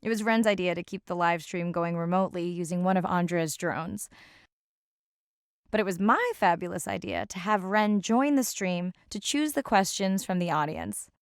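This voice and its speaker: A woman playing a caring, reflective young marine scientist in a video game, speaking naturally and intimately with a slightly gravelly edge. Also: on the low side, lively intonation, moderate pace, no room sound, studio quality, lightly acted. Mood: fond, light.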